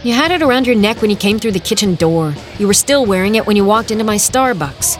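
Actress as brash, wry heroine over music. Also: female voice, medium pitch, lively intonation, quick pace, lightly acted. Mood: defiant. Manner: wry, dramatic first-person telling. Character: brash half-fae heroine, defiant, wry, gun in hand. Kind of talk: full-cast audio drama narration and dialogue.

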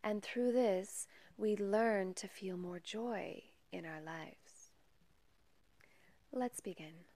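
Female meditation guide, unhurried and gentle, soft breathy delivery with whispery edges, very dry close sound. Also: medium pitch, dry recording. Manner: calm, measured, gentle, with long pauses. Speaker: meditation guide and podcast host.

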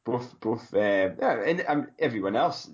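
A man's voice: medium pitch, lively intonation, unhurried, highs cut off. A Scottish man co-hosting a running podcast, joking and self-mocking in casual chat. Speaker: podcast co-host.

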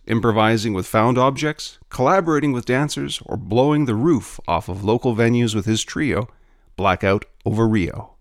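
A man's voice: medium pitch, no echo. Man reading scripted podcast narration, dry sound. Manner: measured, formal read.

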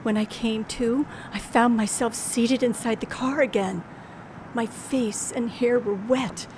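A woman's voice: on the high side, steady tone, measured pace, background noise, lightly acted. An actress playing a frightened woman narrating her own ordeal in a 1940s-style radio thriller, dramatic and suspenseful. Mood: tense, shaken. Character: frightened young woman narrator held captive by killer. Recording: old radio drama with music behind.

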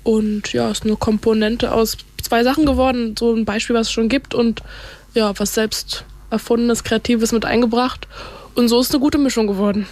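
A woman's voice: a little high, fairly even intonation, moderate pace, darkish tone. A young woman, a student, answering casually in an interview. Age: young adult.

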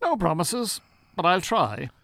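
An actor playing a smooth male Irish con-artist hypnotist who poses as a professor, formal and hesitant, in an audio drama. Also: high voice, huge pitch swings, unhurried, very noisy, heavily acted. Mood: polite, dry. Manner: hesitant, formal, well-spoken.

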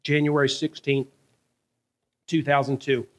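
A man, preaching a sermon in a conversational, animated way, asking his listeners questions and telling stories from his own life. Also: mid-range voice, measured pace. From American South. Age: 30s. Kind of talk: sermon with personal storytelling.

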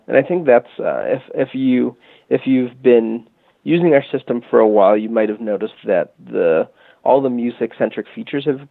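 A man with a low, gravelly voice speaks over a tinny, phone-like line. He talks casually in an interview, explaining at length and often restarting with fillers. The sound is dry. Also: dry recording. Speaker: guest from a music marketing company.

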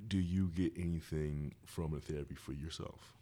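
Very deep-voiced male podcast host. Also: fairly even intonation, moderate pace, warm tone. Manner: easygoing, joking asides. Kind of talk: casual interview chat.

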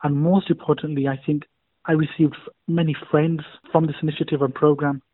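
A man speaks sincerely and deliberately. His voice sits a little high. The audio is dry and narrow, like a phone line. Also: flat delivery, no room sound. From Yorkshire, England. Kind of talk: recorded testimonial.

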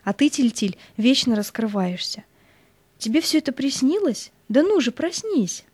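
A woman's voice: high-pitched, sing-song, normal speed, darkish tone, no echo. A woman playing a fussy, practical, anxious mother in an audio fairy-tale play, with a scolding manner. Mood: fond scolding.

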